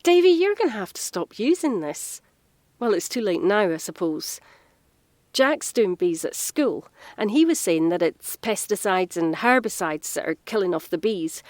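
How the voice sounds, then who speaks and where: An actress plays a caring, straight-talking woman in an audio drama. Her pitch is medium and her delivery is natural, earnest and explanatory. The recording is very dry, with no room sound. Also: no echo, lightly acted. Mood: casual, teasing. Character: frank, caring working woman, earnest and well-informed.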